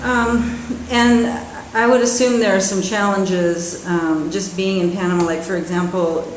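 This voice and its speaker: A woman's voice: mid-range voice, steady tone, unhurried, a little hiss or hum. A woman interviewer asking long questions hesitantly, with many fillers. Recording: echoey room, faint ambience.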